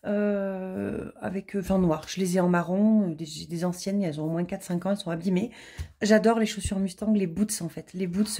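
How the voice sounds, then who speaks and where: A woman in her late thirties talks casually to her camera at home, chatty and self-deprecating, with frequent small laughs and hesitations. Her voice is warm and mellow, at a middle pitch. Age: 30s. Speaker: budgeting vlogger. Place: home, indoors.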